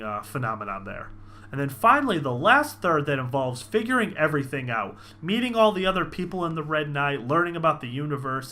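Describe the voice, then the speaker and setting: A man's voice: fairly high pitch, bright, forceful tone. A man hosting a video review, speaking in an emphatic, informal way as he narrates his enthusiastic take. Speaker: host of a visual novel review channel.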